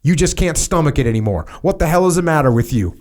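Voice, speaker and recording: A man voicing a furious, bullying senior army officer who rants and shouts at a subordinate. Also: fairly high pitch, expressive, quick pace, pitched above the performer's own voice, lightly acted. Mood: ranting. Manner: ranting, shouting. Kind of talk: acted dialogue in a memoir reading.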